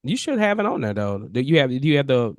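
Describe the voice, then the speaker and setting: A man with a nasal voice tutoring a student one-on-one on a video call, relaxed and encouraging, often repeating his words. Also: medium pitch, fast talker, no room sound, studio quality. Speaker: audio mixing tutor.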